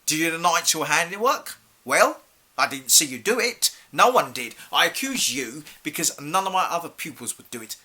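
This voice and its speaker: A man's voice: fairly high pitch, sing-song, moderate pace, sharp tone, full character voice. A male actor playing a stern, exasperated head teacher in a theatrical monologue, accusing a pupil with formal, clipped questions. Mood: accusing, angry. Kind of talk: theatrical monologue for an acting showreel.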